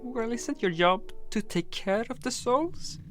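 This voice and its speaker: Man doing a high, small young creature. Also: animated, unhurried, over music. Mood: timid questioning.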